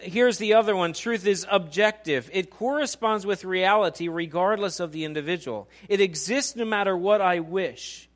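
Man, forties, pastor, high-pitched voice, conversational sermon, playful, questions the congregation. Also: normal speed, hardly any pauses. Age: 40s. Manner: conversational, joking, quizzes the congregation. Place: church auditorium.

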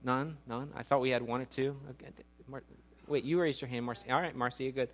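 A man's voice: mid-range voice, steady tone, a little fast. A man in his forties preaching a sermon in a church auditorium, talking in a conversational, joking way and quizzing the congregation. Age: 40s. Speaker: pastor.

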